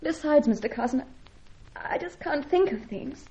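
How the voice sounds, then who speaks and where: High-voiced, fretful young damsel. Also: female voice, expressive, moderate pace, dark tone. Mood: despairing, panicky. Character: helpless, anxious, naive young English woman in distress. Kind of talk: old-time radio adventure serial acting. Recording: dull, lo-fi old radio recording.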